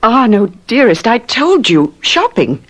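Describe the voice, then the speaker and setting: An actress playing a devoted, desperate wife in a radio drama, in an earnest, theatrical manner. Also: female voice, a little high, big pitch swings, unhurried. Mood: nervous, evasive. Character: devoted, desperate wife, composed yet pleading.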